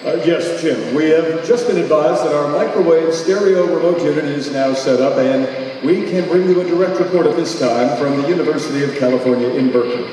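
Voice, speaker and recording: An actor playing an earnest, formal newsroom announcer reading a bulletin in a radio play. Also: male voice, on the high side, brisk, mellow, lightly acted. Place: auditorium stage. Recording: very echoey hall, distant, noisy.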